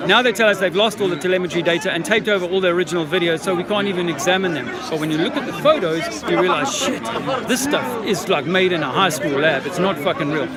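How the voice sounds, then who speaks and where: A man's voice: sits high, expressive, fast. A man in his fifties, an army veteran filming himself outdoors, talking in a profane, rambling, insistent way. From South Africa. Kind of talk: street-corner conspiracy monologue. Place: outdoor public speaking park. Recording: outdoor crowd noise behind.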